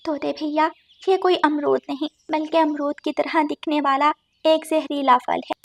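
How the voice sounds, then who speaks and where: A woman's voice: high-pitched, steady tone, normal speed, creaky. A woman voicing a wise, protective female sparrow in a children's cartoon, reproachful and sensible in her delivery. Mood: scolding, concerned. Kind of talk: Hindi children's cartoon dialogue.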